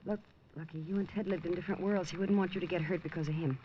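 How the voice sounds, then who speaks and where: An actress playing a grieving young woman in a 1950s radio drama, speaking softly and pleadingly. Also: lowish voice, steady tone, quick pace, close to an everyday voice. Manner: soft, pleading. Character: grieving young woman, murdered man's girlfriend. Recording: dull lo-fi 1950s radio broadcast with faint noise.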